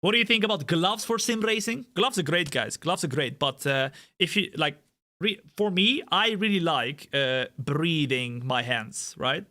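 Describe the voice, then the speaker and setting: Energetic male streamer. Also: a little high, measured pace. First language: Dutch. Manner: energetic, hype shout-outs, rambling asides. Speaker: sim racing streamer. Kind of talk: live stream chat while gaming.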